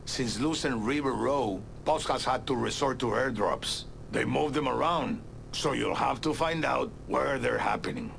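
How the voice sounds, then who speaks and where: Gravelly bitter Cuban exile. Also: male voice, mid-range voice, measured pace, a bit bright, slight background noise. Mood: bitter, wary. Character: bitter male Cuban exile, hard-bitten anti-communist informant. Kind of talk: video game cutscene acting.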